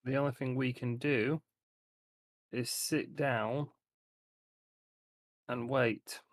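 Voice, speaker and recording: A man's voice: medium pitch, steady tone, drawn-out words, dry recording, clean studio sound. A man playing a tabletop roleplaying game, casually planning the next move with other players.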